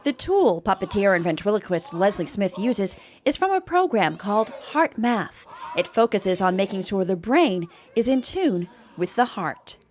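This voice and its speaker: A woman's voice: medium pitch, very animated, moderate pace, a little mellow. A woman delivering a scripted local news report as a field reporter.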